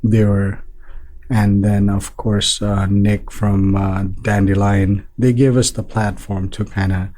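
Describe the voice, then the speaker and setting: A man from Nepal, a restaurant owner being interviewed, talking in a relaxed way with many fillers and restarts. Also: fairly low pitch, takes it slowly. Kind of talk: casual interview talk.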